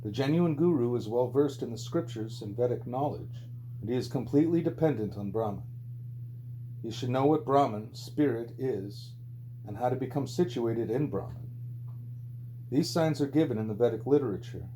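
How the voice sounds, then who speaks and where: A man reading a spiritual book aloud as scripted audiobook narration, with a mellow voice. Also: animated, moderate pace, low-quality recording. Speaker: audiobook reader. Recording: low hum and background noise.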